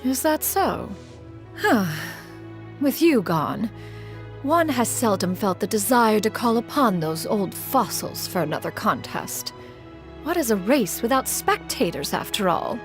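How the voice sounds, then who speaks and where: Proud, eccentric ageless female sage, game role: lofty, archaic, very expressive delivery. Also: fairly high pitch, a little slow, faint music behind. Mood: dismissive. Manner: pompous, archaic, calls herself 'one'. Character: ancient proud female immortal crane sage, haughty and eccentric. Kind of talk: theatrical anime video game voice acting.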